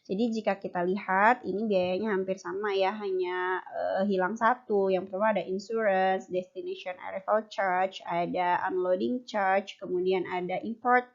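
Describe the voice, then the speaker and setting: A woman's voice: medium pitch, fairly even intonation, moderate pace, vocal fry. Young woman presenting, dull recording. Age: young adult. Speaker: university student presenter. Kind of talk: explanatory class presentation.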